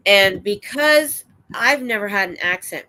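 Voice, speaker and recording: An older American woman hosting a livestream, chatting in a rambling, excitable way. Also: mid-range voice, measured pace. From United States. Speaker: livestream host. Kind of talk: casual chat. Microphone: streaming setup.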